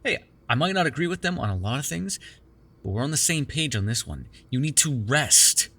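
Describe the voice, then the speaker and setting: Man acting a sardonic vampire, bright lively voice. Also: mid-range voice, normal speed, exaggerated voice. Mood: concerned, scolding. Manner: wry, teasing, profane, conversational. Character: sardonic, caring immortal male vampire held captive in cuffs. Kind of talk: immersive ASMR roleplay acting.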